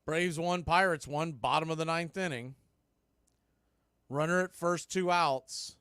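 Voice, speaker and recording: A man doing radio baseball play-by-play, making steady calls mixed with conversational analysis. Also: a little high, measured pace, clear, resonant tone, dry recording, clean studio sound. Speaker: play-by-play announcer.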